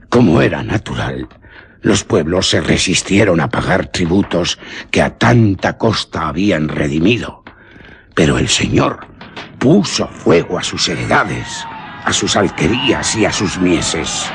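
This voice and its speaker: A low-voiced man with a very husky, rough grain narrates a gothic radio drama. He takes his time over each literary phrase, with theatrical rises and falls. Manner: theatrical, deliberate, literary delivery. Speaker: narrator of a radio horror story.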